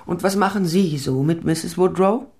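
Low female voice, dry wit, self-possessed private eye, ex-cop, crime radio play. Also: moderate pace, a little mellow, slightly rough. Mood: challenging. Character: tall sardonic female private detective, former police officer. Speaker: lead actor and first-person narrator. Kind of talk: crime radio drama, dialogue and intimate narration.

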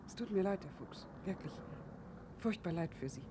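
Weary, restrained wife over clear background noise actress. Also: female voice, fairly low pitch, lively intonation, moderate pace, natural voice. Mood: resigned, apologetic. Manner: formal, restrained, terse. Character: weary, reserved, long-suffering wife of an alcoholic. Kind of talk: German radio play acting.

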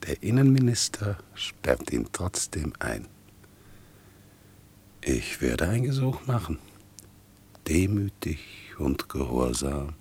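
An actor playing a worn, bitter, defiant old war veteran in a German radio play, speaking in a brooding, deliberate way. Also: male voice, rumbling low, very animated, a little mellow, exaggerated voice. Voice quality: gravelly, husky. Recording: 1960s radio play recording.

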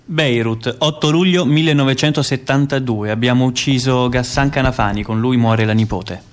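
A man played by an actor plays a trainer of assassins. His radio-drama delivery is cool, measured and didactic, every detail methodical. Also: mid-range voice, close to an everyday voice. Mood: cold, factual. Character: cool, methodical male weapons instructor of assassins. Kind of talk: dramatic radio theatre, instructional monologue.

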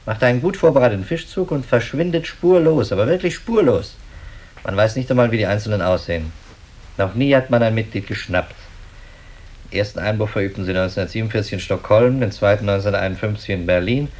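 Radio drama detective, played by a man: lowish voice, official and businesslike, skeptical, emphatic delivery. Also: close to an everyday voice. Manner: brisk, matter-of-fact, emphatic, official. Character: male police detective, brisk, businesslike, skeptical. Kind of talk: German radio drama acting.

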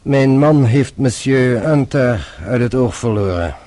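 An actor playing a shrewd French police inspector in a radio drama, formal, measured and politely probing. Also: male voice, mid-range voice, expressive, dark tone, natural voice. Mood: businesslike.

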